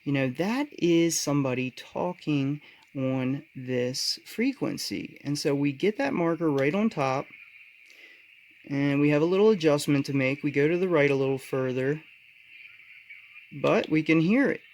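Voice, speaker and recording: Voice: mid-range voice, lively intonation, measured pace, darkish tone, low-quality recording. A tutorial host talking casually and informally, giving encouraging hands-on instructions.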